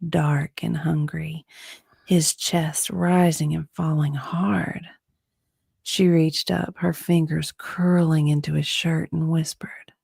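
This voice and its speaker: A woman narrating a dramatic romance reading in a breathy way, often dropping to a whisper. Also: lowish voice, mellow, dry recording, clean studio sound.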